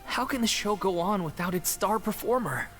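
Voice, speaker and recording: A woman voicing a young, excitable theatre troupe performer in a game, protective and worried, speaking expressively and casually. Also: mid-range voice, moderate pace, soft background music, lightly acted. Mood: upset, reproachful. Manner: expressive, colloquial.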